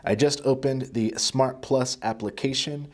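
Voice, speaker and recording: A man's voice: medium pitch, a little slow. A man presenting a product tutorial, explaining things step by step in a plain-spoken, casual way.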